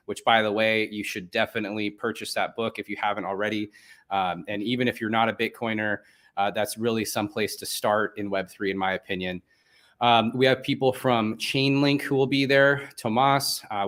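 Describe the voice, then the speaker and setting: A man's voice: lowish voice, a bit bright. Chatty man hosting a live audio event. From Venezuela. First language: Spanish. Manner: chatty, filler-heavy, upbeat promoter. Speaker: host.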